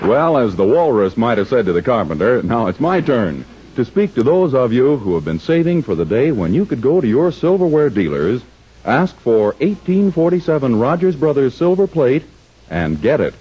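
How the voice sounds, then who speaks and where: Male period announcer, American, husky, polished sales read with lively intonation, muffled old-radio sound. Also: medium pitch. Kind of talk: 1940s radio advertising read.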